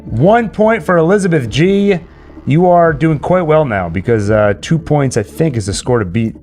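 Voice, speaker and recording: A man's voice: a little high, animated, moderate pace, a little mellow. Man casually hosting a livestream over music. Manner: playful quizmaster asides to chat. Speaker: live stream host running a chat quiz. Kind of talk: casual livestream banter and storytelling.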